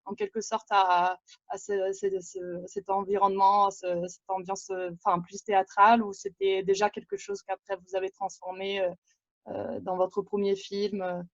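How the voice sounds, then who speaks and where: Woman with Italian accent, polite and halting questioner, dry and dull video-call audio. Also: mid-range voice, expressive, no echo. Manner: hesitant, many fillers. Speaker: audience member asking a question.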